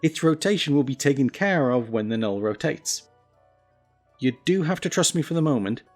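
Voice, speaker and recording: Low-pitched man narrating a tutorial over music. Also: lively intonation, normal speed. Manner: instructional with wry, self-deprecating asides. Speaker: tutorial presenter.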